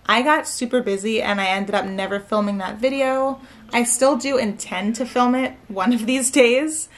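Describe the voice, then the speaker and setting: Relaxed chatty woman in her twenties. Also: on the high side, normal speed. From Maryland, US. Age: 20s. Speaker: nursing student vlogger. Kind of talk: casual late-night chat to camera. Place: living room floor at home, indoors. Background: faint room ambience, heater hum.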